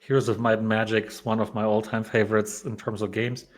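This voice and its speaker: A man's voice: fairly low pitch, little pitch movement, quick pace. A man from Poland hosting a developer live stream, chatting casually with lots of fillers and restarts. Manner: rambling, many fillers and restarts.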